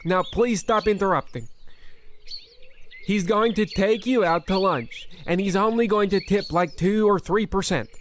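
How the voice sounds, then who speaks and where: A man's voice: high-pitched, unhurried, a little hiss or hum. A man voicing a nervous, rambling male expedition cook who insists on repeating his warnings in a comedic radio play. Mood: exasperated.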